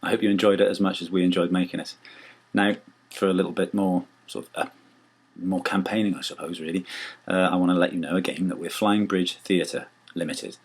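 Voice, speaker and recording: A man's voice: sits low, fairly even intonation, brisk, slightly rough. A man speaking for a small theatre company, making a rambling, homely appeal to listeners. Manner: rambling, homely, loose wording.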